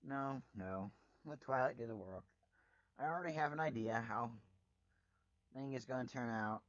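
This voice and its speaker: A man reading fan fiction aloud as a dramatic first-person narrator, with a wry, expressive delivery. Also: mid-range voice, moderate pace, no echo, highs cut off.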